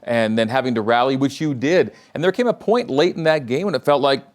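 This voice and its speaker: A man hosting a sports show, asking questions and reading smooth, scripted lead-ins. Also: medium pitch, animated, fairly quick. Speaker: show host. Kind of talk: broadcast sports interview and narration.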